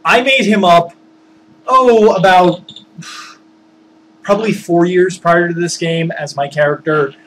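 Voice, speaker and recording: A man casually recounting his tabletop games as game master, rambling and informal, animated when he acts out what people said. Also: high-pitched, unhurried, pauses often. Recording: noisy background.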